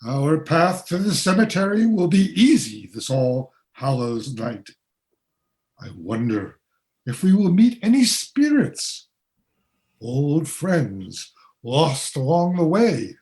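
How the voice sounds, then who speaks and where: A man playing a cautious, formal man of times past with dry dark humor in a radio play. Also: a little high, sing-song, slow. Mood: musing, wry. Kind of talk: period radio play acting.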